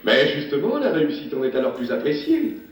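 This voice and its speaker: A man playing a courteous, optimistic gentleman traveller in a French-dubbed cartoon, gallant, formal and gently hesitant. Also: on the high side, wildly swooping pitch, brisk, faint music behind. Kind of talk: French cartoon dub acting. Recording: very echoey, distant cartoon soundtrack.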